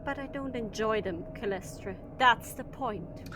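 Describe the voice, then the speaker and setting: A woman's voice: a little high, unhurried, music in the background, lightly acted. A woman playing a blunt, defiant shuttle captain in an audio drama, curt and dismissive in manner. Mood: irritated.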